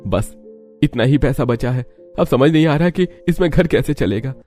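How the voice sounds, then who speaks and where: An anxious, worn-down young labourer and husband, acted by a man in a cartoon story. The voice is pushed up from a low register, with faint music behind. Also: lively intonation, normal speed, warm tone, close to an everyday voice. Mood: dejected. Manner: anxious, weary. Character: troubled, poor young husband, a daily-wage labourer. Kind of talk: Hindi cartoon story dialogue.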